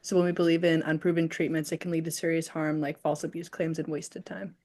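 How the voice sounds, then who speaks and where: Young college girl in a study group, lowish voice, talks casual with lots of "like". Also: level tone, fairly quick, darkish tone. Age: young adult. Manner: chatty, says "like" and "literally" a lot. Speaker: college student.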